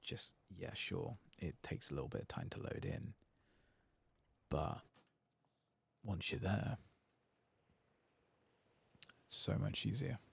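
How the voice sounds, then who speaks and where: A deep-voiced man narrates his video game in a soft ASMR style. His delivery is breathy and crackly, often close to a whisper, and casually murmured. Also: expressive, a little slow, pauses often, no room sound. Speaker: ASMR gaming channel host.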